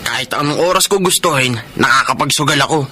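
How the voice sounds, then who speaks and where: An actor playing a brash, hot-tempered young brother who drives a tricycle and gambles, in a Filipino radio drama. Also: male voice, mid-range voice, fast, pushed and bright. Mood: cocky, carefree. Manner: cocky, emphatic. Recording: noisy radio drama audio.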